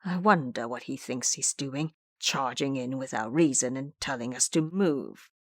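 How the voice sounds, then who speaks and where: A woman's voice: very deep voice, unhurried, no echo, studio quality, pitched below the performer's own voice. A woman voicing a fearful, grumbling old male abbot in an audio drama, bossy and querulous with his underlings. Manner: querulous, scolding.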